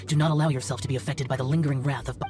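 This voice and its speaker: A woman's voice: extremely low pitch, steady tone, very fast. A woman playing an aloof male immortal yaksha who hunts demons, speaking formally and tersely in video game dialogue. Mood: solemn, aloof. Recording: music behind the voice. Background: game music.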